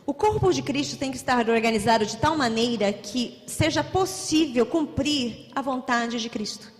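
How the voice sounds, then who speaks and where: A Brazilian woman with a São Paulo accent interpreting a sermon live, speaking fluently and quickly with small restarts. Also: a little high, expressive. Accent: São Paulo Portuguese. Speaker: interpreter and pastor. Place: church hall. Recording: church hall reverb.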